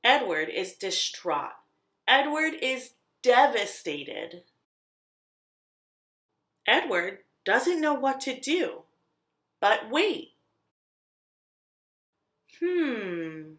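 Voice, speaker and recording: Woman, children's storyteller, unhurried slow pace, expressive read-aloud, dramatic emphasis, echoey room sound. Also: a little high. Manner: deliberate, dramatic emphasis on key words. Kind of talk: expressive scripted picture-book narration. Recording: roomy, echoing sound.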